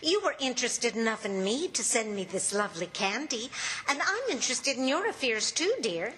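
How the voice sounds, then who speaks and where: A woman playing a patient, inquisitive housewife in an old-time radio comedy, brisk and gently chiding. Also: a little high, big pitch swings, poor audio. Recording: noticeable background noise.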